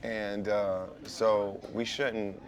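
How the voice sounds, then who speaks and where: A man's voice: lowish voice, little pitch movement, drawn-out words, slight background noise. A man chatting earnestly over lunch in a restaurant, building his points step by step. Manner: earnest, persuasive, speaks in building phrases. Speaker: guest in casual lunch chat. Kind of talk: casual conversation. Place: busy restaurant. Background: restaurant crowd chatter.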